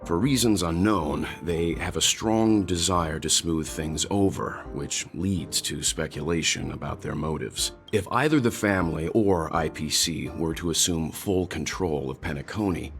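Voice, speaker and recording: A man voicing a grave, composed strategist in a video game, speaking in a measured, serious and analytical manner. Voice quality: very deep. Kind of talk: scripted game dialogue. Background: faint background music.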